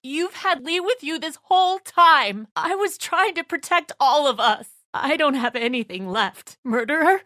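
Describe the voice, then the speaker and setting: A woman's voice: high-pitched, animated, a little slow, few pauses, pushed and bright, no room sound, studio quality, heavily acted. An actress playing a tense, suspicious woman survivor of a zombie apocalypse in a video game, blunt and accusatory in manner. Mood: desperate, pleading. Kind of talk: dramatic video game acting.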